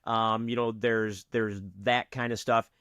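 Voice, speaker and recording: A man's voice: lowish voice, little pitch movement, a little slow, fairly bright tone, clear, resonant tone, dry recording, muffled recording. Easygoing male interview host. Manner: easygoing, agreeable, backchannels a lot. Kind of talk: casual interview chat.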